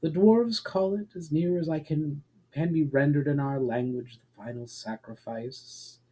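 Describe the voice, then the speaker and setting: A man voicing a composed, knowing elf woman in a storybook reading, measured and grave. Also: fairly high pitch, warm tone, slightly rough, poor audio. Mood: grave, explaining. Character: composed elf woman, knowing, testing, faintly smug host.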